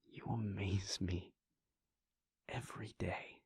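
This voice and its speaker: A man voicing a weary, devoted male vampire prince in a soft, intimate whisper, speaking in slow, halting, heartfelt confessions. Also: low voice, steady tone, breathy edge, no echo, exaggerated voice. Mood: tender, hushed. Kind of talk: whispered audio roleplay.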